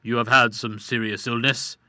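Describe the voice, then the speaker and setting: A man's voice: medium pitch, flat delivery, moderate pace, pushed and bright, no echo, studio quality. A man voicing a fat, greasy quack doctor who speaks fussily and tut-tuts with mock concern. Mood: fussy. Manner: fussy, tut-tutting and ominous.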